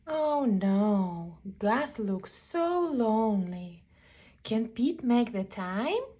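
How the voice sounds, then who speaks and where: A woman narrates a children's storybook at an unhurried pace. Her voice sits fairly high and swings widely in pitch. She stretches out phrases for emphasis as she reads. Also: very animated, mellow. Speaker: children's book reader. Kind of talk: animated read-aloud picture book narration.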